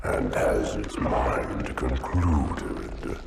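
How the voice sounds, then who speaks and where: Monstrous, extremely deep, gravelly ancient creature. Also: male voice, animated, drawn-out words, recorded from a distance, full character voice. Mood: menacing, grandiose. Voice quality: guttural, booming. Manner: ponderous, rhyming, oracular declamation. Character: colossal ancient alien parasite intelligence, sinister and grandiose. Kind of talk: video game cutscene acting. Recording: echoing, very noisy game scene.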